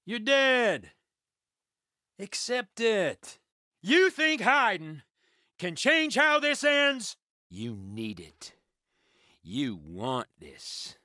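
A sadistic male game villain who relishes the hunt. His voice is fairly high and very animated, lurching between murmured taunts and shouts. He gloats slowly, savouring every threat. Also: dry recording, clean studio sound, full character voice. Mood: menacing. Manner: taunting, gleeful, menacing, swinging from murmurs to shouts. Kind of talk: video game combat voice lines.

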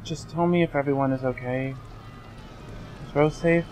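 A man voicing an awkward, downcast young man in a fan dub, talking in a halting, casual, evasive way. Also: a little high, fairly even intonation, darkish tone, a little hiss or hum, natural voice.

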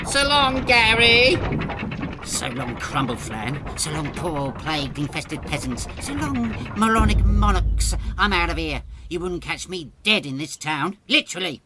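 A man's voice: on the high side, big pitch swings, unhurried, heavy background noise. A British man playing a cunning, pompous medieval lord in a comedy audio drama, sneering and exasperated. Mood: gloating, contemptuous.

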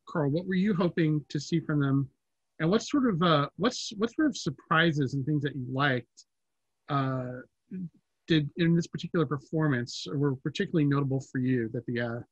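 A man in his fifties informally moderating a panel, hesitant and pausing between phrases. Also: on the high side, a bit bright, dry recording. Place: indoors at home, video call. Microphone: computer mic on video call. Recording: slightly dull video-call audio.

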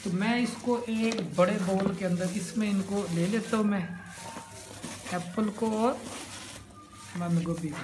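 A woman's voice: medium pitch, measured pace, pauses often. A woman cooking at home and explaining a recipe step by step, chatty and repeating each step as she goes. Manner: chatty, repeats steps, admiring the food. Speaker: home cook. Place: home kitchen table, indoors. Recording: roomy with loud background noise.

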